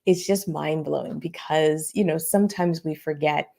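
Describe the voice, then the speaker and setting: A woman hosts a casual podcast interview in a chatty way, leaning on many fillers. Her voice sits low and mellow with a gravelly edge of vocal fry. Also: steady tone, normal speed. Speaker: podcast host.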